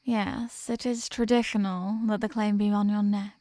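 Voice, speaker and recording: A woman's voice: medium pitch, level tone, moderate pace, mellow, dry recording, close to an everyday voice. A woman playing a possessive, dominant vampire in an ASMR roleplay, speaking in a teasing, condescending manner with formal phrasing. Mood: teasing, intimate. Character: possessive, dominant female vampire toying with captive prey. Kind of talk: ASMR roleplay, scripted intimate monologue.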